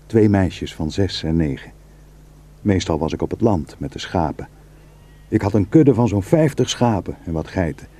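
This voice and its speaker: An actor playing a simple Kurdish shepherd, narrating his memories reflectively with a husky voice in a radio drama. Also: low voice, full character voice. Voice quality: husky, dark.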